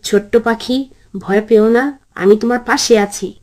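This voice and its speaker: A woman's voice: fairly high pitch, unhurried, darkish tone, close to an everyday voice. Woman voicing an earnest little boy, music behind. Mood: gentle, kind. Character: brave, kind little boy on a quest. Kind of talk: cartoon story acting.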